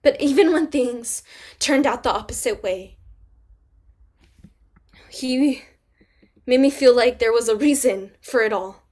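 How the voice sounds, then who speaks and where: Brief: high-voiced actress playing a grieving young mother-to-be, raw and halting. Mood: desperate. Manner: halting, trailing into quiet, near-whispered confessions. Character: grieving young pregnant woman, frightened and raw. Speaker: actor in a staged play reading. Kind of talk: staged play reading.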